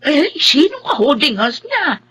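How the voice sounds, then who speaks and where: Scolding Filipino aunt, animated pitch. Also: female voice, unhurried, heavily acted. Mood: shocked, disapproving. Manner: exclamatory, reproachful. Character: outspoken older aunt, elder sister, scolding and nosy. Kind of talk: Filipino radio drama acting.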